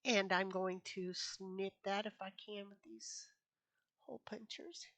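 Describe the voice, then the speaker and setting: A woman hosting a casual craft tutorial, chatty and a bit sniffly from allergies, often repeating herself and filling pauses. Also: medium pitch, fairly even intonation, fairly bright tone, dry recording. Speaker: craft channel host. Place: home craft room.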